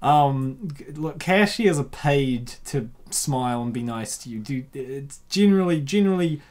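A woman hosting a comic dub with casual, rambling, excitable reaction commentary. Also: rumbling low, measured pace.

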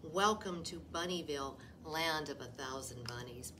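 A woman's voice: low-pitched, moderate pace, pushed and bright, pitched below the performer's own voice. A woman voicing a nervous, fibbing little rabbit in a children's picture book, stammering and insisting with flustered emphasis. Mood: nervous denial. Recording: distant mic, faint room noise.

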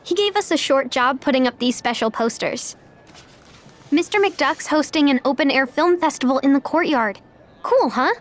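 A woman's voice: sits high, expressive, normal speed. A woman voicing a friendly, perky teenage girl in a video game. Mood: cheerful.

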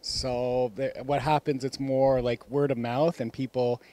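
A man from Canada who works as an entertainer and actor, explaining things in a measured way in a street interview. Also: medium pitch, little pitch movement, mellow. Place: city street outdoors. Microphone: handheld mic.

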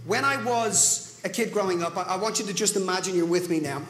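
Dublin man, forties, high voice, storytelling motivational speaker, echoey hall, long reverb. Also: brisk. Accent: Dublin Irish. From Ireland. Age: 40s. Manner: storytelling, animated, gestures widely while pacing. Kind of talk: motivational talk on stage. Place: large conference room with audience.

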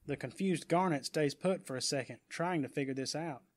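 A man's voice: on the high side, brisk. A man reading scripted story narration in a dramatic audiobook style.

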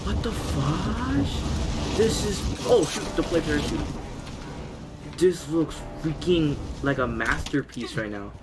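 A man's voice: fairly high pitch, animated, slow. A young man with a congested, stuffy nose, giving hyped, slangy gameplay commentary indoors. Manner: hyped, slangy, loud exclamations. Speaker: gaming youtuber. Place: indoor room, green screen. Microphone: headset, webcam framing.

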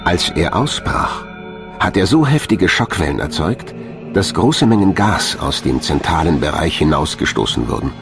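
A man narrates a documentary in a deep voice. His delivery moves up and down expressively, and music plays clearly behind him. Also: sing-song, moderate pace.

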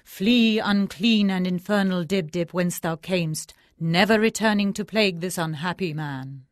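A woman's voice: lowish voice, moderate pace, no room sound, studio quality, close to an everyday voice. A woman voicing a pompous, self-important male holy man who speaks solemnly.

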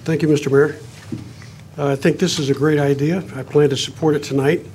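A councilman speaks from the dais in a plain, measured way, his voice sitting a touch high for a man. A faint hiss of room noise runs under him. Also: level tone, a little mellow. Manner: measured, frequent "uh" fillers, plain-spoken. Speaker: city councilman. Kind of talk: council floor remarks. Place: council chamber.

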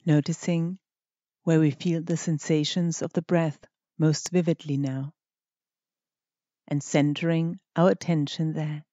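A meditation guide speaking scripted instructions in a gentle, measured manner with deliberate pauses. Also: mid-range voice, flat delivery, dry recording, dull audio.

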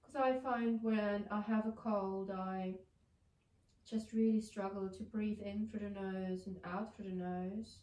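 A woman in her thirties with a blocked nose from a cold, guiding a yoga session in a gentle, soothing way from across a bare living room. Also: medium pitch, fairly even intonation, measured pace. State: congested with a cold. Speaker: yoga teacher. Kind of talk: guided yoga instruction. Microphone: far camera. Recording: echoey room, mic far off.

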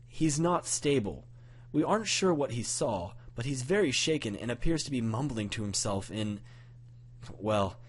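Man acting a measured, formal policeman. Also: mid-range voice, husky. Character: male police officer, formal, grave and careful.

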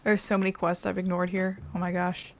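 A woman's voice: on the low side, little pitch movement, brisk, a little hiss or hum. A woman giving casual, sarcastic, sweary commentary as she plays a game. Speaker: gaming streamer.

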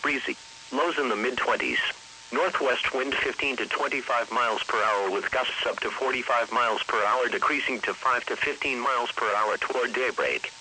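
Bright synthetic male weather radio announcer. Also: medium pitch, moderate pace, low-quality recording. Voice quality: synthetic text-to-speech voice. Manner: formal, impersonal announcing. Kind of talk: scripted weather bulletin reading. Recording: hissy weather radio broadcast.

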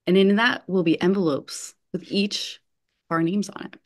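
A woman reading a halting young care worker. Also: mid-range voice, fairly even intonation, natural voice. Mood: earnest. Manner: hesitant, halting with restarts. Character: young female nursing home worker, earnest orphan. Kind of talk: online play reading. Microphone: video call computer mic.

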